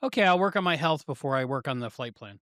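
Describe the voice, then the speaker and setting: A man's voice: mid-range voice, expressive, quick pace, fairly bright tone, dry recording, clean studio sound. A man in casual podcast conversation as co-host, given to playful, self-deprecating banter.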